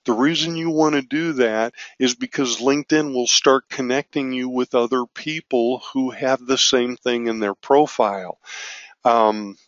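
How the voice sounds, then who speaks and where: A man teaching an online business course, his voice raspy from allergies, with an occasional cough. He talks in a loose, self-correcting way, mid-pitched, on a dry, slightly muffled recording. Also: measured pace, no echo. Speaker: business marketing instructor. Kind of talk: screen-share course walkthrough.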